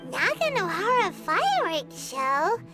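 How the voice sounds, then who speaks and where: Tiny excitable fairy girl sidekick for an anime game. Extremely high, squeaky, wildly swooping, unhurried pace. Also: over loud music, full character voice. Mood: curious. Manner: squeaky, curious, exaggerated. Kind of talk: anime video game dialogue acting.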